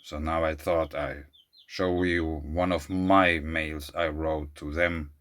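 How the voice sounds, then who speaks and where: A man whose first language is German, speaking English in an earnest, questioning monologue to camera, often trailing off quietly. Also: extremely low pitch, steady tone, slow pace. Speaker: video creator. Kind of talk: casual monologue to camera, reading out an email.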